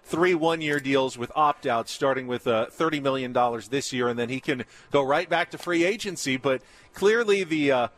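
A man's voice: medium pitch, moderate pace, a bit bright, no room sound. A man hosting live sports talk radio from a remote broadcast. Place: live remote broadcast at a baseball spring training site.

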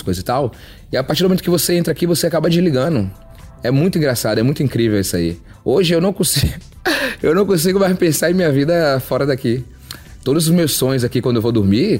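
Relaxed low-voiced man talking openly. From Brazil, Salvador. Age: 30s. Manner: open, conversational, with frequent "sabe?" asides. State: a bit nervous. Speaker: reality show contestant. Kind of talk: casual podcast monologue.

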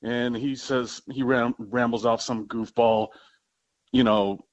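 A man's voice: mid-range voice, steady tone, slow pace, dry recording. A man with an American accent calling a radio show and talking casually, over a dull phone connection. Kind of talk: casual anecdote telling. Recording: dull, dry phone call sound.

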